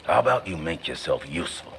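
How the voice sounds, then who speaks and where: A hot-tempered, loyal American frontier partner in a game. The voice is deep and creaky, with animated swings and a gruff, grumbling edge. Noisy game sound sits behind him. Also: male voice, quick pace, full character voice. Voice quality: gravelly. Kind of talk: dramatic video game acting.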